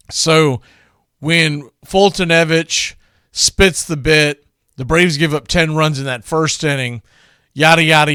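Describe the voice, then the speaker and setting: A man's voice: fairly high pitch, a bit bright, clear, resonant tone, no echo, clean studio sound. A man hosting a sports radio show, talking casually and reflectively about baseball like a devoted fan. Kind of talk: casual baseball commentary introducing archive calls.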